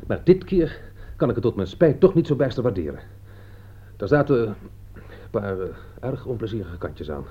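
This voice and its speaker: A man's voice: on the low side, big pitch swings, normal speed, slight background noise. An actor playing an earnest, compassionate and disapproving man in a radio play, hesitant and reproachful. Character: earnest, compassionate, disapproving male friend of principle. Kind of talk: radio play acting in Dutch. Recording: dull, muffled old radio recording.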